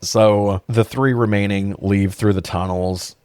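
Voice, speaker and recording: A man's voice: lowish voice, steady tone, measured pace, a little mellow, smooth, dry recording, studio quality. A man co-hosting a podcast, chatting casually about films in a slangy, profane, joking way. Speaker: podcast co-host reviewing films. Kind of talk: casual banter, film commentary.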